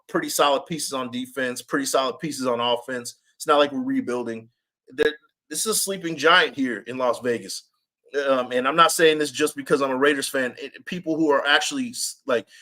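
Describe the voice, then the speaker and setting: Raspy-voiced man hosting a sports podcast. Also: medium pitch. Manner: animated, repeats himself, reads chat comments aloud. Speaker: podcast host. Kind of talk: casual live-stream chat.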